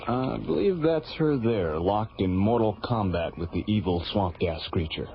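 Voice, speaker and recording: An actor playing a hesitant, sheepish space station controller, on a hissy old radio recording. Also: male voice, fairly low pitch, expressive, moderate pace, dull audio.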